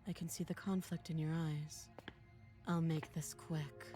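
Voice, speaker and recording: A woman playing a calm, nonchalant captive princess with a fierce streak in a visual novel game. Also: low voice, a little slow, music in the background. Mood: composed, cool. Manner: calm, matter-of-fact.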